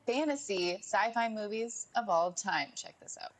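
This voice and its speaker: A woman's voice: medium pitch, lively intonation, measured pace, poor audio. A woman presenting a conversational entertainment segment on television.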